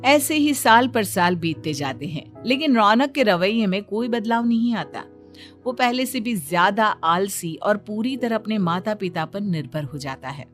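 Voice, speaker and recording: Female narrator, mid-pitched, scripted storytelling for an animated moral tale, light music bed. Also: moderate pace.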